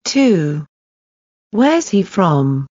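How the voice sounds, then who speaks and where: Woman, language test reader, extremely slow, careful enunciation, dry muffled recording. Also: fairly low pitch, sing-song, dark tone, dry recording. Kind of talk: scripted language-test reading.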